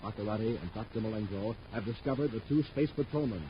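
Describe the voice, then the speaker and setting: A man's voice: on the low side, quick pace. A man announcing and narrating in 1950s radio style with an emphatic, dramatic showman delivery on an old recording. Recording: muffled old radio recording, faint noise.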